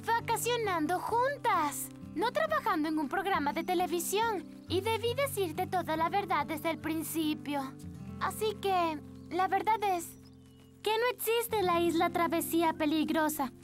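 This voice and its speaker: A woman's voice: extremely high pitch, animated, measured pace. An actress playing a sweet, earnest young girl in a children's cartoon. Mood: guilty, earnest. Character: earnest, bright young cartoon girl, scheming but kind. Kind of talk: animated cartoon dubbing. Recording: music behind the voice.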